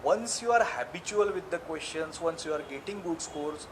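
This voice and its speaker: A man's voice: on the high side, lively intonation, normal speed, background noise. A man with an Indian accent, a native Marathi speaker, giving earnest, repetitive exam advice in a live seminar. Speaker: IELTS tutor. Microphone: live stream audio.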